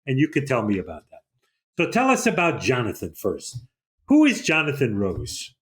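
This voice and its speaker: An older man hosting an interview in a measured, conversational way. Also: mid-range voice, wildly swooping pitch, fairly bright tone. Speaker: host.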